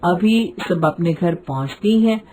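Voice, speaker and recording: A woman's voice: on the low side, expressive, a little slow, noisy background. A woman narrator reading a scripted moral family story.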